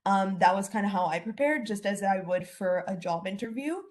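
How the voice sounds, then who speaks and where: A woman's voice: mid-range voice, expressive, normal speed, darkish tone. A young woman, an alumna, giving earnest advice on a video call, with many fillers. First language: English.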